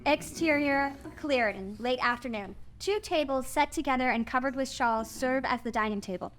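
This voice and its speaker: A woman's voice: high voice, moderate pace, fairly bright tone, a little hiss or hum. A woman narrating stage directions from a script at a table read.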